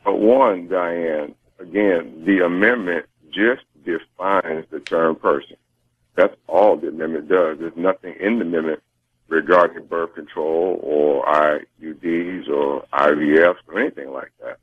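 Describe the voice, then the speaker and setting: A man with an American accent gives interview answers by telephone. His voice is low and rough-edged, and his manner is careful and insistent. The line is muffled and thin, cutting off much of the sound. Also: measured pace, dry recording. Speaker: interviewed campaign spokesman. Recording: muffled, band-limited phone line.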